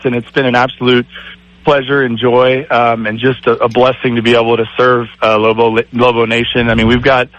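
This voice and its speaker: Man, raspy, hoarse from shouting, monotone, dry, grateful and halting. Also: medium pitch, dry recording, poor audio. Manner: warm, grateful, many fillers. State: raspy from screaming. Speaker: athletic director guest. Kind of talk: phone-in radio interview.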